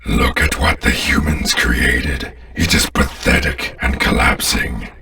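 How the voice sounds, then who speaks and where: A man playing a menacing, imperious alien warlord in a video game, with a deep rasp and a pompous, commanding, deliberate delivery. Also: far from the mic, a crowd in the background, full character voice. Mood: watchful, instructing. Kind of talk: video game villain voice acting.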